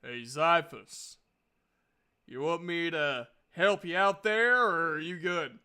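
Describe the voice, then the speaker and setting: A man playing a friendly male adventurer who offers help in a slow, buddy-like way. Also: on the high side, pushed and bright, clear, resonant tone, exaggerated voice. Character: sledgehammer-wielding male adventurer, gruffly friendly helper.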